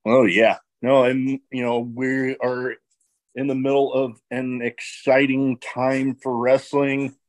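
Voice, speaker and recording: A man's voice: medium pitch, level tone, takes it slowly. A man hosting a podcast, talking with a guest in a laid-back, conversational way. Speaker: podcast host. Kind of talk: casual interview chat.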